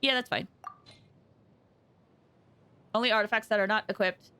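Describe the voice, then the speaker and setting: A woman game streamer chatting casually and excitably, thinking aloud with frequent exclamations as she plays. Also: medium pitch, moderate pace, clean tone. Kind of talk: casual livestream gaming chat.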